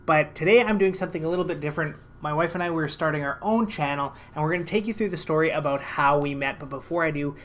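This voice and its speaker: A man's voice: a little high, quick pace, few pauses, a little hiss or hum. Chatty man telling a story. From Canada. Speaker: financial advisor and vlogger. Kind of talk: casual storytelling to camera.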